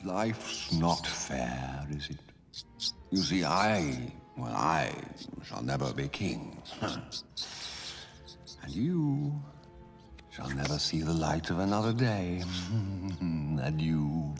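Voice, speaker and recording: A man playing a jealous, scheming lion in an animated film, with a languid, mocking, theatrical drawl. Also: extremely low pitch, takes it slowly, heavily acted. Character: jealous, sardonic villainous male lion, scheming royal brother. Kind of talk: animated film villain acting. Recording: long reverb, music behind.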